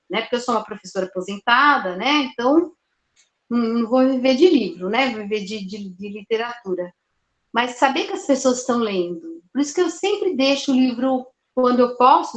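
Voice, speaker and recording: An older woman author answers interview questions in a thoughtful, halting way, often restarting words and adding "né". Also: on the high side, fairly bright tone. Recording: roomy echo.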